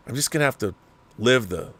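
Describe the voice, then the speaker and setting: An older man who hosts his own channel, giving a rambling, opinionated rant in a casual, animated way. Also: mid-range voice, slightly rough. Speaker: channel host. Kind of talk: ranting commentary.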